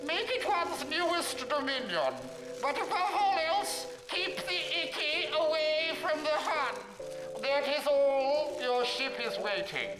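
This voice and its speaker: An actor playing a condescending upper-class official whose orders come through as an old gramophone recording. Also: male voice, very high-pitched, animated, slow pace, reverberant, big-room sound, over music, exaggerated voice, rough recording. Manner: plummy, condescending.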